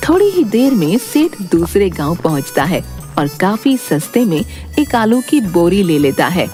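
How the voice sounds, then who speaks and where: A woman's voice: fairly low pitch, big pitch swings, a little slow, mellow, faint music behind. A woman narrating a scripted children's folk story.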